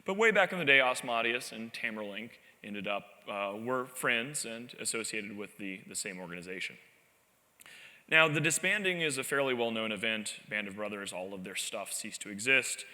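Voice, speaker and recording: Bright, wry male conference speaker. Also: lowish voice, moderate pace. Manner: animated, wry storytelling with self-deprecating asides. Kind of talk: live conference presentation. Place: large convention hall stage. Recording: long reverb of a large hall.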